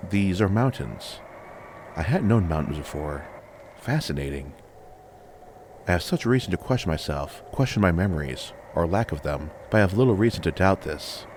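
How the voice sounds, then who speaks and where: Very deep-voiced man narrating fantasy. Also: darkish tone, slight background noise. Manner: measured, dramatic, short deliberate phrases. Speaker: audiobook narrator. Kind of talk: scripted first-person fantasy story narration.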